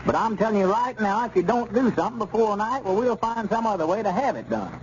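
A man's voice: high voice, animated, fast. An actor playing a righteous, insistent small-town man with a rural Southern US accent, folksy and urgent, in a 1930s radio serial. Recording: muffled, hissy 1930s radio recording.

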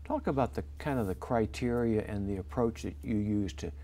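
An older man hosting a studio interview, introducing a guest and asking measured questions on a lapel mic in a small TV studio. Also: on the low side, mellow. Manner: friendly, measured questioning with fillers. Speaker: host.

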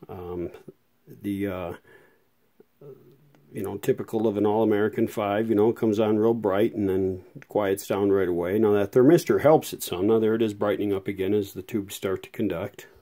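A man's voice: on the low side, fairly even intonation, moderate pace, dark tone. A man casually demonstrating a vintage radio repair at his workbench, talking in a rambling, hesitant way with many fillers, filmed one-handed on a phone. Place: workshop bench with fluorescent lights. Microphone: handheld phone camera, one-handed. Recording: background hum from fluorescent lights.

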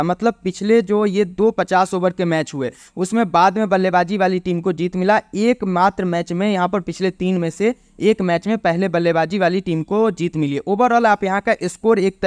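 A man presenting an explanatory cricket pitch report as a voice-over. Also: clean tone, dry recording, clean studio sound.

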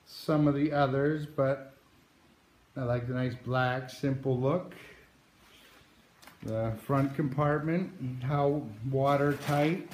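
A man from New Hampshire giving a casual, halting walkthrough into a handheld phone, with many pauses and restarts. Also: on the high side, darkish tone, echoey room, slight background noise. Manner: slow, halting delivery with many uh's and restarts. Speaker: outdoors vlogger. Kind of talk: casual show-and-tell walkthrough. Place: garage, then outdoors by a driveway. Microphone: handheld phone camera, moving around.

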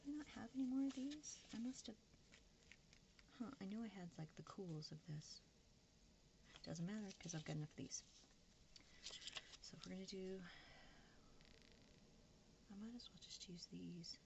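A woman with a creaky voice rambles casually and candidly to her viewers, poking fun at herself and half talking to herself as she crafts at a table. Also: expressive, normal speed, pauses often. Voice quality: low, creaky voice. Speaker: craft vlogger. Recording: noisy room recording with background hiss.

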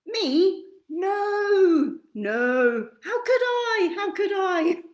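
A woman's voice: very high-pitched, very animated, very slow, roomy sound, voice pushed up, full character voice. A woman voicing a flustered, flighty hare who exclaims in a children's storybook. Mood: flustered denial. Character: startled, flighty hare denying blame.

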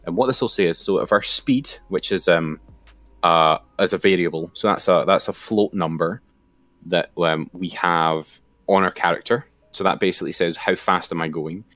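Low-voiced man giving a casual tutorial. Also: moderate pace, a bit bright, no echo. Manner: informal step-by-step explaining. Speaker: instructor. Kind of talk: casual screen-share tutorial.

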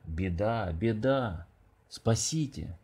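Man as a frantic, husky rhinoceros. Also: on the low side, lively intonation, takes it slowly, darkish tone, exaggerated voice. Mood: alarmed. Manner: alarmed, urgent. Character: frantic rhinoceros calling for help.

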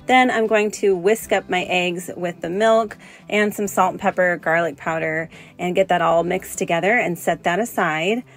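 A young woman narrates a home cooking demonstration in an easygoing voice-over. Her voice sits a little low for a woman, and she walks through each step in a friendly, instructive way. The sound is close and clean. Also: steady tone, normal speed. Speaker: cooking channel host.

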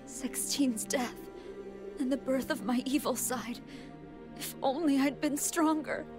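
A woman voicing a gentle, remorseful female android in an anime game, earnest and apologetic. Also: high voice, a little slow, music in the background. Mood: guilty, pleading.

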